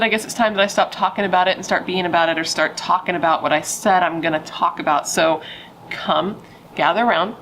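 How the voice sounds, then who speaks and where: A woman's voice: lowish voice, gravelly, a bit bright, slight background noise, poor audio. A young adult woman, a pregnant true crime YouTuber, chatting playfully with dramatic asides and casual swearing.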